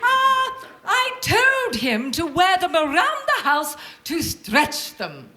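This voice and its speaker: Imperious flustered daughter, bright high voice, theatrical, comic radio play. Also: female voice, wildly swooping pitch, slow pace, heavily acted. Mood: flustered, placating. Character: bossy, flustered middle-class daughter covering up awkwardly. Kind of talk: live radio play comedy acting.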